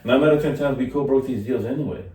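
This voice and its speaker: A man's voice: mid-range voice, quick pace, dark tone. A man co-hosting a podcast, chatting casually about real estate and often saying "you know" and "yeah". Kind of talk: casual chat. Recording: roomy sound from a distant mic.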